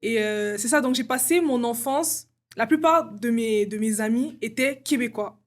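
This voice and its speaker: A woman's voice: on the high side, normal speed. Brief: young woman guest from Congo, raised voice, chatty, sprinkles "genre" and hesitations. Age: young adult. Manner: casual, many fillers like "genre" and "euh". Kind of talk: casual interview conversation.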